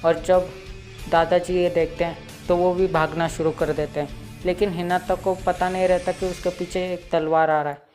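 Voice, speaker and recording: A man's voice: sits high, level tone, normal speed, a little mellow, soft background music. A teenage boy with a slightly sore throat, hosting his channel and casually recapping a cartoon episode with lots of fillers. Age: late teens. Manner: chatty, full of "matlab" fillers. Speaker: YouTube channel host.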